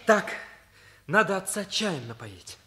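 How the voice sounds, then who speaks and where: An actor playing a blunt, teasing teenage schoolboy in a Soviet radio play, with a dry, needling way of talking. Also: male voice, high voice, big pitch swings, slow pace, background noise, heavily acted.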